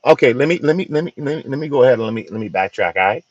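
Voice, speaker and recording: A man from the Bahamas co-hosting a casual podcast chat, halting and repeating words with many fillers. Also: mid-range voice, animated, no echo, dull audio. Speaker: co-host.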